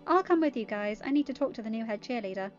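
A woman's voice: on the high side, animated, quick pace, dull audio, soft background music, lightly acted. A woman voicing a sweet, chatty teenage girl in an amateur storybook reading. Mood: eager.

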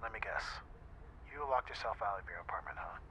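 A man's voice: lowish voice, fairly quick, bright, forceful tone, lo-fi sound, slight background noise. A young man acting as an easygoing, teasing best friend in a casual, intimate ASMR roleplay.

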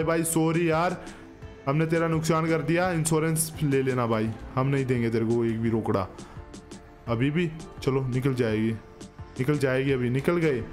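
A man hosts a gaming video. He chats casually and jokingly to viewers as he plays, close to the mic, with music behind him. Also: a little high. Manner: chatty, joking, addresses viewers as bro. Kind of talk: casual gameplay commentary. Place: small indoor studio setup. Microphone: desk microphone up close, with headset.

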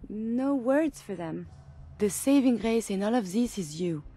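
An actress playing an earnest former robot in a woman's body, with a French accent and formal, precise, halting speech. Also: medium pitch, animated, mellow. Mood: tender, earnest. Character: earnest former robot, now synth woman, overwhelmed by feelings.

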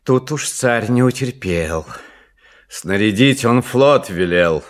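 A man's voice: medium pitch, lively intonation, takes it slowly. A man narrating a fairy tale in verse, in a theatrical, declamatory manner.

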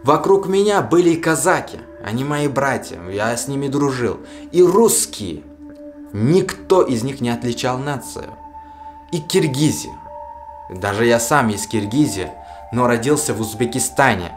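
A young man gives casual to-camera commentary from a small indoor room, speaking earnestly and appealing straight to his audience. Music plays clearly under his voice. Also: medium pitch, lively intonation, unhurried. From Russia. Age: young adult. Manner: earnest, appealing directly to viewers. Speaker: video blogger.

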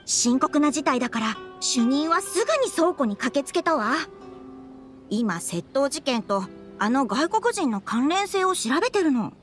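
A woman's voice: high-pitched, big pitch swings, a little slow, soft background music. A woman playing a worried, businesslike publishing house worker in an anime game, speaking casually and matter-of-factly. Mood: concerned.

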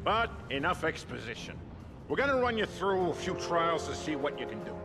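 An actor playing a bossy, self-important vigilante leader in a video game, briefing in a pompous, mock-military way. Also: male voice, on the high side, very animated, moderate pace, music in the background. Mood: proud. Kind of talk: video game cutscene acting.

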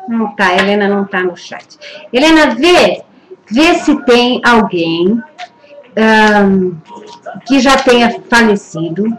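A woman's voice: a little high, poor audio. A middle-aged woman with a Brazilian accent hosting a live web TV call-in show, bubbly and laughing often, recorded on a webcam in a small room. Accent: Brazilian Portuguese. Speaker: web TV host taking call-ins. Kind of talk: lively live call-in chat. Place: small indoor room, seated at desk.

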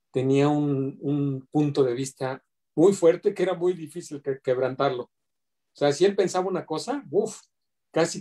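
A middle-aged man talks earnestly over a video call, his voice mellow and warm. He reflects in a steady, heartfelt way and now and then acts out quoted remarks. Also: on the high side. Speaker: community organizer paying tribute.

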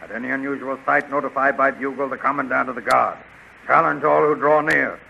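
A very gravelly, obedient palace guard. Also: male voice, medium pitch, fairly even intonation, a little fast. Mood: formal, stiff. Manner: formal, clipped, deferential military reporting. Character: dutiful, obedient palace guard serving a king. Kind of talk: 1930s radio adventure serial acting. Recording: muffled, very noisy 1930s radio recording.

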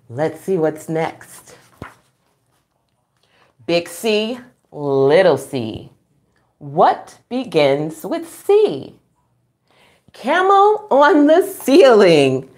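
A woman's voice: medium pitch, sing-song, drawn-out words, pauses often, darkish tone. A middle-aged woman hosting a storytime, reading aloud to young children with exclamations, sing-song emphasis and questions to her listeners. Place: small room at home, indoors.

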